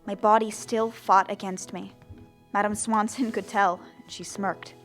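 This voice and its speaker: A woman's voice: mid-range voice, flat delivery, unhurried, faint music behind, close to an everyday voice. A woman playing a determined young zombie girl in an audio drama, earnest and theatrical, both in dialogue and first-person narration. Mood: earnest narrating. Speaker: lead character and narrator.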